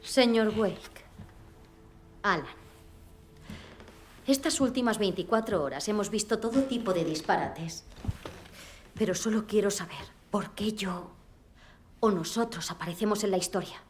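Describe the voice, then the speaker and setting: An actress with a Castilian Spanish accent playing a focused, skeptical female investigator in a dubbed video game, serious and measured. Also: medium pitch, soft background music, natural voice. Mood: probing. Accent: Spanish (Spain). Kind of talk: dramatic video game dubbing.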